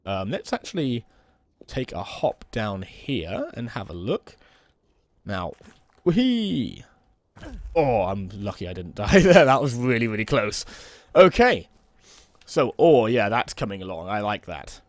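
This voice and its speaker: A young man with a slightly hoarse voice from a cold, giving relaxed, rambling commentary while playing a building game. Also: fairly low pitch, measured pace, pauses often, dry recording. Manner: relaxed, rambling, many "um" and "kind of". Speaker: let's play host. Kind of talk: casual gameplay commentary.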